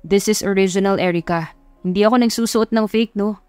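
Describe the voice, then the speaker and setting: A woman's voice: medium pitch, a little fast, darkish tone, clear, resonant tone, no echo, faint music behind, close to an everyday voice. A woman voicing a cocky, possessive rich young man, boastful and bossy, in an audio love story. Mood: smug.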